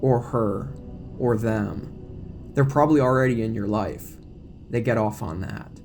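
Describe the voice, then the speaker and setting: A man's voice: lowish voice, unhurried, darkish tone, voice pushed down. A man playing an earnest, protective, wordy young small-town deputy in an audio drama. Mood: worried. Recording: music bed behind the voice.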